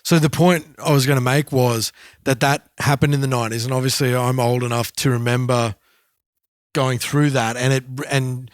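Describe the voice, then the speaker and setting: A man's voice: medium pitch, fairly even intonation, moderate pace, dry recording. Dry-recorded man in casual chat. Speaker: podcast co-host. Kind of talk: casual chat, personal anecdotes.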